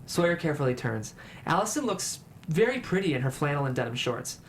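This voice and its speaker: Narrator for screenplay table read, scripted stage directions, middle pitch, some background noise. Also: big pitch swings, a little fast.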